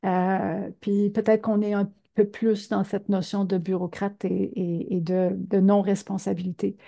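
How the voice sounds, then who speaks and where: Older lady presenting, mellow voice, lots of euh. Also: mid-range voice, little pitch movement, a little slow, vocal fry. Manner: earnest, many fillers. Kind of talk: talk to seniors.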